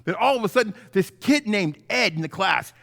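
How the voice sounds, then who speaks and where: Guy pastor doing story time for kids, really animated up and down, stretches big words, echoey hall. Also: sits high, gravelly. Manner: theatrical, drawn-out emphasis on key words. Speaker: pastor telling stories to children. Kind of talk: animated children's story time, sermon-like lesson. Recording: echoey hall with long reverb.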